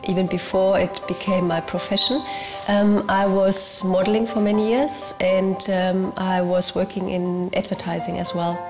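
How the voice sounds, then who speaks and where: A woman, speaking in an interview about her work as a therapist, pausing often with fillers. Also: mid-range voice, level tone. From Germany. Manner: hesitant, with many fillers. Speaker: interviewee, family constellation facilitator. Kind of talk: reflective interview. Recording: music bed underneath.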